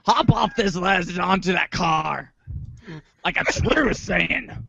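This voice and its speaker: A man plays a brash cartoon alien warrior, bombastic and commanding. The voice is gravelly and a bit high, recorded dry with a dull lo-fi sound. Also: lively intonation, slow, sharp tone, dry recording, full character voice. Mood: bombastic, urgent. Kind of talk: comic fan impression.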